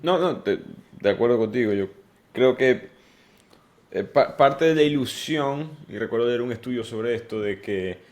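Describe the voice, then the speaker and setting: A man's voice: mid-range voice, normal speed, a little mellow. A man hosting a podcast interview who talks reflectively and poses questions to his guest. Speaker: podcast host.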